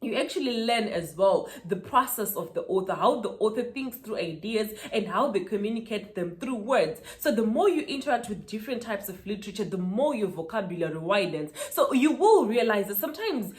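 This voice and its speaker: A woman's voice: medium pitch, sing-song, brisk, few pauses. A woman, a Shona speaker, giving motivational advice in an emphatic style and repeating key words for stress. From Zimbabwe. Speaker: author and speaker. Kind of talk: motivational talking-head vlog.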